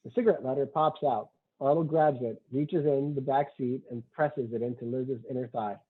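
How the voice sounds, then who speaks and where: A man's voice: medium pitch, fairly even intonation, normal speed, breathy edge. A man who wrote a screenplay, reading its stage directions and talking as narrator of the table read. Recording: tinny, phone-like call audio.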